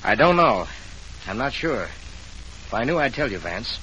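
An actor playing an agitated, evasive murder suspect with a short temper in a 1940s radio drama, pushy and blurting. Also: male voice, mid-range voice, very animated, a little slow, bright, forceful tone, rough recording. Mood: cagey, nervous. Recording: hissy late-1940s radio recording.

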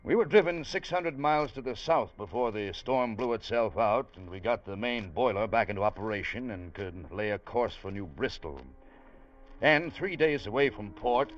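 An actor playing a plain-spoken ship's officer in vintage radio drama, with a conversational, wry, easygoing manner. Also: male voice, fairly low pitch, close to an everyday voice. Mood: storytelling. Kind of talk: 1940s-50s radio drama narration and acting. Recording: muffled old radio broadcast with music.

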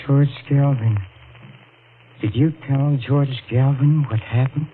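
An actor playing a guilty, nervous killer in a melodramatic, halting 1940s radio thriller style. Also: male voice, medium pitch, steady tone, natural voice. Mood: stunned. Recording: noisy, narrow-band old radio recording.

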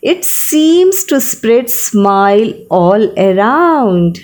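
A woman voicing a sweet, playful younger sister in a children's story, a young girl doting on her doll, with a drawn-out sing-song delivery. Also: a little high, very animated, darkish tone, full character voice.

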